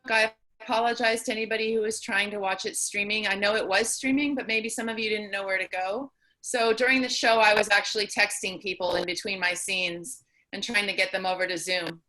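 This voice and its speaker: A woman's voice: medium pitch, fairly even intonation, fairly quick, bright, forceful tone, poor audio. A woman talking casually as a playwright and performer answering questions on a video call. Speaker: playwright and performer in online post-show Q&A. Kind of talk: casual Q&A talk.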